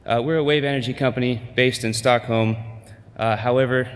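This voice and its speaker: A man in middle age giving a conference pitch, hesitant with many fillers and restarts. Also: fairly even intonation, brisk, big-room sound. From United States. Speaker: company presenter.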